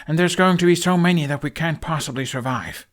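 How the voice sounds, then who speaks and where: A young future chief acted out by a man reading an audiobook, pitched up and forceful. He is anxious and commanding, giving clipped, insistent orders as a dragon rider. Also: a little fast, lightly acted. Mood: urgent, grim. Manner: short, sharp, insistent delivery. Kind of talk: audiobook character acting.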